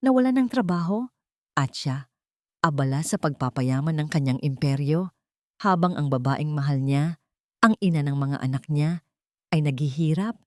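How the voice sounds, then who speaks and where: Expressive Filipino woman narrator, low voice. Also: no echo, studio quality. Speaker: storyteller. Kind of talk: scripted dramatic narration of a love story.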